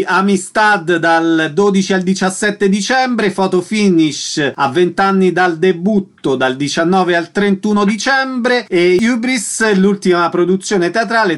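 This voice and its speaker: A man's voice: sits high, a bit bright. A man hosting a radio interview, reading out show details and asking questions. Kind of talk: scripted radio announcements and interview.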